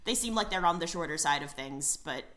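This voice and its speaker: A woman co-hosting a casual anime podcast, talking in animated bursts, laughing and trailing off into asides. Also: low voice, a little fast. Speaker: podcast co-host.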